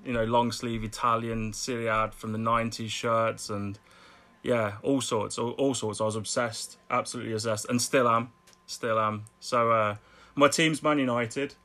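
A middle-aged man with a Birmingham accent, chatting casually as a podcast guest, laughing often and repeating words when excited. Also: medium pitch, normal speed. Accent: Birmingham English. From Birmingham, England. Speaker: guest artist.

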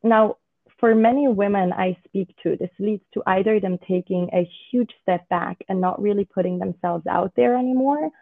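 A woman from Switzerland, a dating coach, explaining her ideas in a measured way as a podcast guest on a remote call. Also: fairly low pitch, gravelly, dry recording. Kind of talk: podcast interview. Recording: tinny remote call audio.

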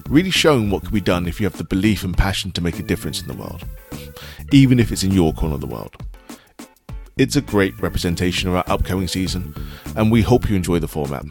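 A man hosting a podcast, reading its introduction in a smooth, scripted way. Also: low-pitched, sing-song, fast talker, faint music behind. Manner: smooth, read-out delivery. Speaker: podcast host.

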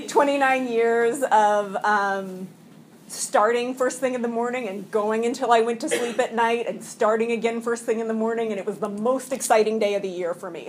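A woman's voice: mid-range voice, a little hiss or hum. A woman around forty, slightly sniffly from a cold, giving a personal share at a recovery meeting in a room full of people. She stumbles through restarts and fillers and laughs often.

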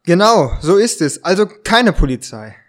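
A man playing a polite, agitated writer in an amateur German detective radio play, haltingly stumbling over his lines. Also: high-pitched, sing-song. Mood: earnest.

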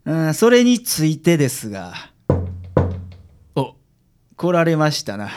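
A man with a Kansai accent acting a confident, frank private detective in a radio drama, explaining things in a measured way. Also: a little high, wildly swooping pitch, music in the background. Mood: calm, formal. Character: confident, frank male private detective reporting calmly to a client. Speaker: amateur radio drama actor.

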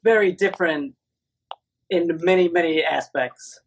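A man's voice: on the high side, unhurried, gravelly, slightly rough, rough recording. A man interviewing a running coach on a video call, friendly and upbeat, laughing often.

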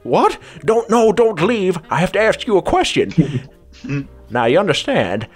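A man acts out a flustered detective in a spoof radio play. He stammers and dodges, with very lively swings of pitch. Faint music plays behind him. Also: unhurried, exaggerated voice. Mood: evasive. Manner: stuttering, nervous, evasive. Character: flustered, stammering, shady male private detective. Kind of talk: improvised radio drama parody.

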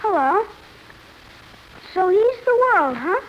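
A woman's voice: very high-pitched, very animated, slow pace, slight background noise, exaggerated voice. A woman playing a cute, curious little boy in a 1950s radio comedy. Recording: muffled old radio broadcast.

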